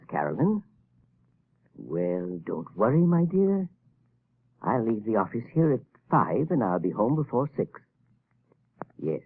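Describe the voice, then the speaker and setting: An actor playing a nervous, evasive male professor, stammering and husky in a 1930s radio drama. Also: mid-range voice, a little hiss or hum, exaggerated voice. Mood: alarmed. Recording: tinny 1930s radio broadcast.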